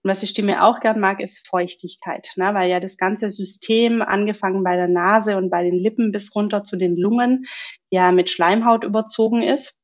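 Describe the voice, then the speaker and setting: A woman working as a voice coach and speech therapist, explaining calmly in a podcast interview and often humming along in agreement. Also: medium pitch, moderate pace, muffled recording. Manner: calm, explanatory, with frequent affirming hums.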